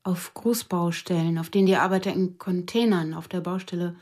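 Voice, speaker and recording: A woman playing a grieving witness in a naturalistic radio crime drama, speaking haltingly about her former lover. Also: lowish voice, fairly even intonation, darkish tone, natural voice. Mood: reflective. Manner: halting, hesitant recollection.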